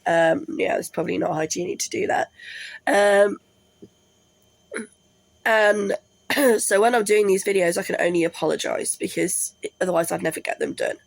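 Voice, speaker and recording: Congested woman vlogger, slow gravelly delivery, apologetic and rambling, dry close sound. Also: fairly low pitch, dry recording, low-quality recording. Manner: apologetic, rambling, many ums. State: congested with a cold. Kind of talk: casual talk to camera. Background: washing machine running.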